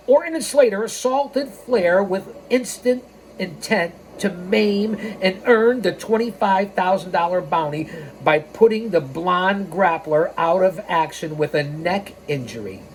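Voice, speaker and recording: Woman narrating over clearly noisy background. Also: medium pitch. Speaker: storytime host and narrator. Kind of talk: reading a scripted wrestling history aloud with casual asides.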